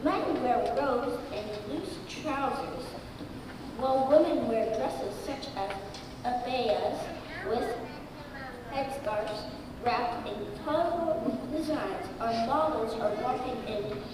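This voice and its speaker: Young girl reading a speech real slow, high voice, far away in a big hall with noise. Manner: slow, careful recitation with pauses. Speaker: student presenter. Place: school auditorium. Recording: echoey hall from far off, noisy.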